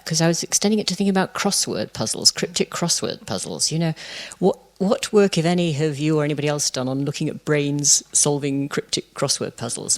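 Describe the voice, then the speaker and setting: A man's voice: a little high, creaky. A man in a lecture audience asking an informal question.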